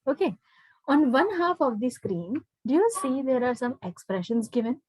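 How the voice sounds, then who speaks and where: Woman, Indian accent, husky higher voice, online speaking teacher, instructive, frequent check-in questions, dry sound. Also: sing-song, normal speed, darkish tone, no room sound. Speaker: public speaking and spoken English teacher. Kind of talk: interactive online lesson with a child. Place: online live class.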